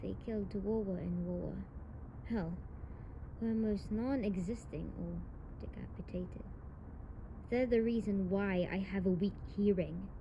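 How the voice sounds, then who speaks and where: Casting: actress as tough young delinquent woman with a soft side, audio roleplay, fry-laden voice, noticeable noise behind. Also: medium pitch, unhurried, highs cut off, natural voice. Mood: cold, vengeful. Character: tough tsundere delinquent young woman, tender lover, vengeful sister. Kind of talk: intimate romantic audio roleplay acting. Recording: noisy home recording, audible background noise.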